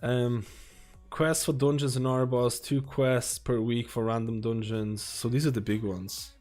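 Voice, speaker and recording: A man live-streaming, reading a guide and viewer questions aloud in a halting, casual way and breaking into asides while losing his voice. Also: mid-range voice, flat delivery, a little mellow, soft background music.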